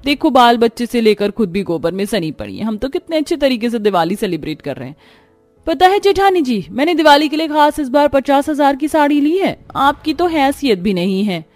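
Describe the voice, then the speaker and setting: A woman's voice: sits high, very animated, a little fast, no echo, faint music behind, pitched above the performer's own voice. A woman voicing a haughty, mocking rich young sister-in-law in a cartoon family drama, boastful and sneering. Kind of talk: Hindi animated family melodrama.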